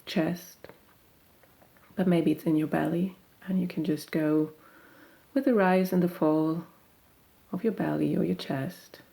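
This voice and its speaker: A woman guiding a meditation in a gentle, unhurried, soothing manner, leaving long pauses between phrases. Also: lowish voice, fairly even intonation, vocal fry. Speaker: meditation guide. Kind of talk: guided meditation.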